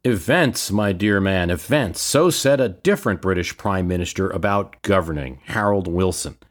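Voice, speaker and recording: Low-pitched American man, podcast commentary. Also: lively intonation, normal speed. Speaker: history and politics podcast host. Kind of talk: explanatory solo podcast commentary, answering listener questions.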